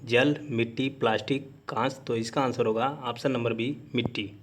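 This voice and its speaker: A man's voice: mid-range voice, fairly even intonation, normal speed. A man teaching an exam-prep lesson, methodically reading out questions and then giving each answer.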